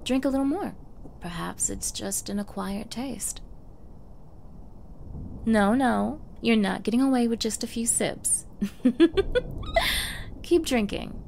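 Woman acting a slow, coy, creaky-voiced yandere girlfriend. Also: on the high side, a little mellow, a little hiss or hum. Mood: coaxing. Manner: coy, cooing, teasing, often breathy whispers. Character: sweetly menacing, possessive young yandere girlfriend. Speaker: roleplay audio performer. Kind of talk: ASMR roleplay acting, soft intimate girlfriend character.

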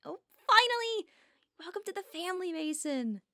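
A woman's voice: extremely high pitch, expressive, moderate pace, bright, forceful tone, no echo, studio quality, heavily acted. A woman playing a friendly, candid female werewolf packmate in an audio drama. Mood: delighted.

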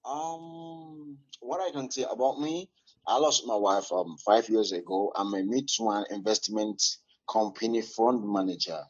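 A man with a foreign accent talks haltingly, filling gaps with ums and uhs. His voice is low and husky, heard over a muffled, lo-fi online call. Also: steady tone. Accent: foreign English. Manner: hesitant, many fillers, halting. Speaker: caller.

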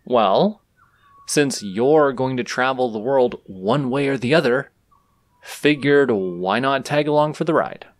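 A man's voice: medium pitch, expressive, measured pace, dry recording, close to an everyday voice. A man playing an easygoing, teasing traveling swordsman in an audio roleplay, casual and reassuring with a cocky streak, talking straight to the listener. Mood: upbeat, friendly.

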